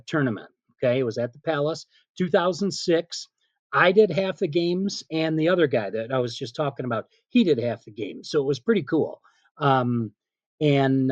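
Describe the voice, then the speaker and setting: A middle-aged man, a PA announcer being interviewed, telling casual anecdotes in an animated way and quoting remembered dialogue. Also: mid-range voice, no room sound, dull audio. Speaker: stadium PA announcer, interview guest.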